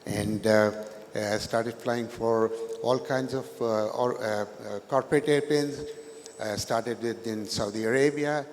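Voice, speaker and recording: Halting older man in a hall. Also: mid-range voice, fairly even intonation. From Pakistan. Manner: hesitant, many fillers and restarts. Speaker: guest speaker, retired pilot. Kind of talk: halting live stage interview. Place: large event hall. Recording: long hall reverb, faint noise.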